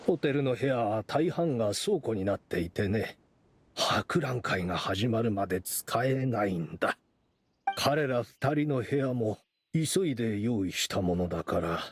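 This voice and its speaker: An actor playing a courteous, measured middle-aged gentleman official in an anime game. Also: lowish voice, animated, husky. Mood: apologetic.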